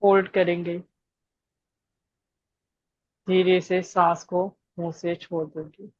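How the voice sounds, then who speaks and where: A woman leading a guided meditation, giving gentle instructions with long pauses between phrases. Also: on the low side, flat delivery, dry recording, dull audio.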